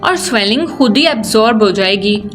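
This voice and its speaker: A woman's voice: mid-range voice, lively intonation, normal speed, a bit bright, faint music behind. A woman narrating a scripted drama story recap, telling the scenes and acting out the dialogue.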